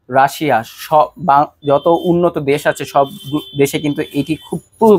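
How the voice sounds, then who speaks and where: A man with a Bangladeshi accent presenting a product pitch, speaking directly to viewers like a shop salesman. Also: darkish tone, no room sound. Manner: salesman-like, addresses viewers directly with rhetorical questions. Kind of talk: promotional product pitch to camera.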